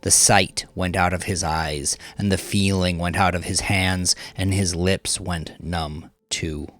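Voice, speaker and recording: A man reading scripted dark crime fiction as a narrator, with measured, deliberate and dramatic phrasing that draws out the ends of lines. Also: sits low, fairly even intonation.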